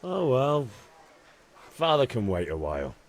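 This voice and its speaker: A man's voice: medium pitch, huge pitch swings, a little slow, full character voice. A man playing a good-natured, hesitant young blacksmith's son in a video game cutscene. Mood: reluctant.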